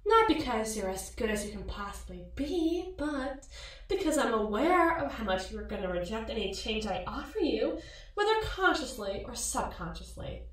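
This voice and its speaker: A woman's voice: a little high, sing-song, moderate pace. A woman playing a sly, seductive, mocking mirror alter ego in an ASMR roleplay, cooing and teasing. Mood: coaxing, cutting. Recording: echoey, distant-sounding voice.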